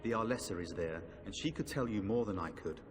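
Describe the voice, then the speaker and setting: A man playing an earnest, dispirited knight in a fantasy game, speaking in a formal, measured, grave way. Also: lowish voice, expressive, lightly acted. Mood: courteous, resigned. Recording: long hall reverb, faint music behind.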